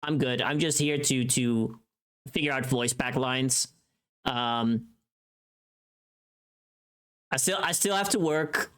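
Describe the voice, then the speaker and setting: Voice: mid-range voice, slow, long pauses, fairly bright tone. A streamer chatting casually on a livestream in a playful, teasing, sweary way.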